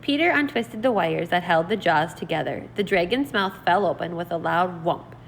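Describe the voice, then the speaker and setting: A woman teacher reading aloud and explaining in an expressive, deliberate, instructional way. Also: fairly low pitch, vocal fry, slight background noise, rough recording. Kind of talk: read-aloud lesson, narrating and explaining vocabulary.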